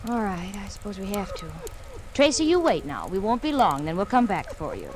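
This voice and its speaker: An actress playing a spirited, affectionate but stubborn wife, expressive in the manner of 1940s radio drama. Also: female voice, medium pitch, brisk, close to an everyday voice. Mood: affectionate, fretting.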